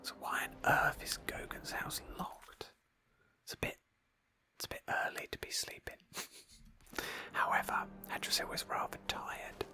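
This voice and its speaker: A woman whispering gently as she narrates an ASMR roleplay of a video game in a storytelling manner. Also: a little slow. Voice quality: breathy whisper. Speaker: host of a roleplay game playthrough.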